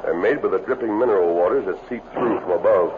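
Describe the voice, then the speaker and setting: An actor playing a calm, resolute masked western lawman in a 1950s radio adventure, speaking in formal, precise sentences. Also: sits low, fast, lightly acted. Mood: calm, explanatory. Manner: formal, precise, explanatory diction. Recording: muffled, noisy old radio broadcast recording.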